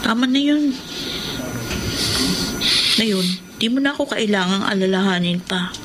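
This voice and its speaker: A woman's voice: medium pitch, animated, measured pace, very noisy, lightly acted. A woman playing a stubborn, wounded young daughter in a Filipino radio drama, speaking with insistent emotion. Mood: withdrawn, firm.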